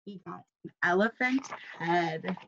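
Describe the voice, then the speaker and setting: A woman's voice: fairly low pitch, a little slow, vocal fry. A young woman teacher reading a picture book aloud to children, expressively and prompting them with questions. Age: young adult. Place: small bedroom indoors. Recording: dull lo-fi webcam sound.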